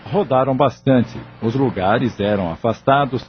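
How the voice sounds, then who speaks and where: A man narrating a scripted audio novel in a formal, measured manner. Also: medium pitch, a little hiss or hum. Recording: dull lo-fi audio with music underneath.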